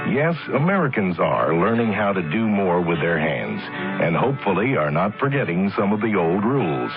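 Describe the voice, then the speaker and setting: Deep-voiced man in folksy radio announcement. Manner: conversational, plain-spoken. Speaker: public service announcement narrator. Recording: muffled, band-limited radio broadcast. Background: music.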